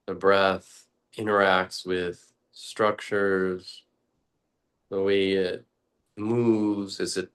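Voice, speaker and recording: A man leading a guided meditation talk as a teacher, speaking deliberately in short, halting phrases with long pauses. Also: low-pitched, smooth.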